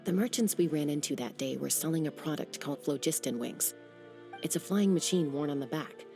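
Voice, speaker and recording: A woman's voice: deep voice, a little fast, warm tone, faint music behind. An actress playing a young female warrior who speaks in a level-headed, analytical way. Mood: explaining. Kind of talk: video game cutscene dialogue.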